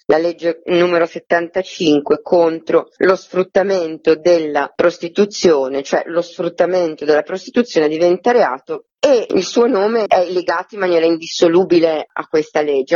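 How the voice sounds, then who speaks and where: A woman's voice: on the low side, darkish tone, no echo. Discursive woman, dry muffled sound. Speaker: actress and author being interviewed. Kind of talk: interview, explaining a theatre project.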